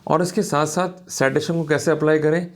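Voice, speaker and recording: A man's voice: a little high, flat delivery, fairly quick. An Indian man lecturing in an emphatic, didactic manner, often checking that listeners follow, as a law teacher. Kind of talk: instructional law lecture in Hindi mixed with English terms.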